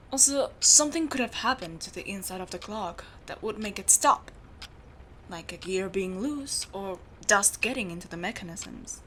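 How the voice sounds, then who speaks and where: A woman playing a meticulous, secretive steampunk clock repairer in an audio roleplay, explaining things patiently and in a measured way to the listener. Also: mid-range voice, a bit bright, slight background noise. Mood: calm explaining. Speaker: amateur voice actor.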